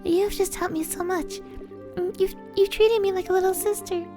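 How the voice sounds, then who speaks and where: A woman voicing a sweet, tearful little girl from a fantasy game, speaking in a halting, tender way. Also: very high voice, steady tone, warm tone, soft background music, voice pushed up. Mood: tearful, grateful.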